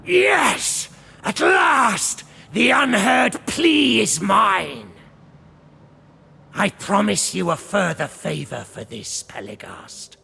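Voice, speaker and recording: A greedy undead hangman from a dark fantasy game. His rasping voice crackles with heavy fry, slow and fervent, with dramatic swoops in pitch. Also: very animated, heavily acted. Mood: triumphant. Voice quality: very gravelly, rasping creak. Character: zealous, greedy undead executioner serving a death god. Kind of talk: theatrical video game cutscene acting.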